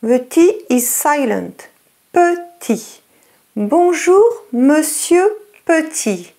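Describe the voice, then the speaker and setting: A French-accented woman teaching, who speaks very clearly and repeats words slowly for learners. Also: high voice, animated, warm tone. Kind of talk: slow, clear lesson narration in English and French.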